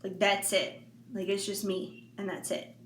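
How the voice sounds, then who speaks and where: A woman of forty talking candidly to her viewers in a casual, rambling way with many fillers and soft laughs. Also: mid-range voice, little pitch movement, unhurried. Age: 40s. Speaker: intuitive reader and vlogger. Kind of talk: casual heartfelt monologue to viewers. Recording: echoey room with faint background noise.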